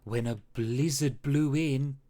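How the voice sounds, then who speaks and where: A storyteller reading a rhyming children's story aloud with expressive, dramatic phrasing. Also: mid-range voice, slow pace. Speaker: storybook reader. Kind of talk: children's read-aloud narration in rhyme.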